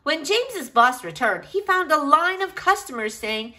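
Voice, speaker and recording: A woman reads a storybook aloud for young children in a bright, high voice. Her delivery is lively and expressive, swinging up and down in pitch as she addresses her listeners directly. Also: normal speed. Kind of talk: expressive picture-book read-aloud for children.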